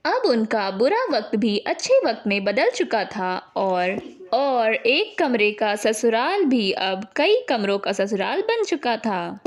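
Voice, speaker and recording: A woman story narrator with a high voice. She reads scripted drama slowly, with a lot of melodic movement in her pitch. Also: big pitch swings.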